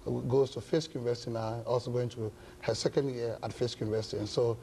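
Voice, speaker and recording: A middle-aged man with a Ghanaian accent, speaking as an interview guest in measured, hesitant phrases. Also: mid-range voice, steady tone, mellow, slight background noise. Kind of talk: studio interview, measured explanation. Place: indoor television studio set. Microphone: lapel mic.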